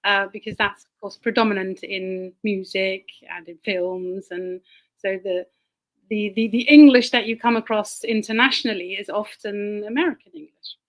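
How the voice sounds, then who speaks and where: A woman who grew up in Germany with German as her first language, a teacher giving a casual talk over a video call, chatty and quick to laugh. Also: mid-range voice, poor audio. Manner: chatty, laughs often, repeats words while thinking. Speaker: guest speaker, teacher.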